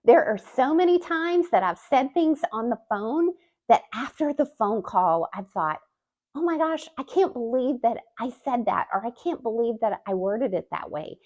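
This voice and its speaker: Lively woman explaining to camera. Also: fairly high pitch, moderate pace. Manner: lively, expressive delivery with emphatic rises. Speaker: YouTube host and communication coach. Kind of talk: scripted talking-to-camera explainer.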